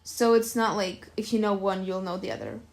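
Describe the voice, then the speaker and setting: A woman's voice: medium pitch, fairly even intonation, brisk. A young woman from Ukraine, a Russian speaker, answering interview questions in casual, hesitant speech with many fillers.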